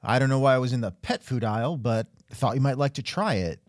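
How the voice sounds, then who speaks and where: A man's voice: medium pitch, fast talker, dry recording, clean studio sound. A man hosting a scripted comedic interview, with a wry, joking manner. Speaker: podcast host and interviewer.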